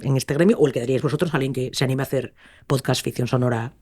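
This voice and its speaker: A man's voice: medium pitch, steady tone, rapid-fire, a little mellow. A man with an accent from Spain, co-hosting a podcast in casual interview chat. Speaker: podcast co-host.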